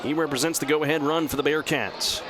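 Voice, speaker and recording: Higher-voiced man calling baseball over ballpark noise. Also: fairly even intonation, a little fast. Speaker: radio play-by-play announcer. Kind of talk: live baseball play-by-play commentary. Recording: clear outdoor ballpark background noise. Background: outdoor stadium ambience.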